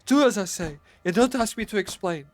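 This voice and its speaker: A man voicing a terrified man who shouts shakily and frantically up from a tomb. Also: high-pitched, lively intonation, a little fast, voice pushed up. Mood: frantic, terrified.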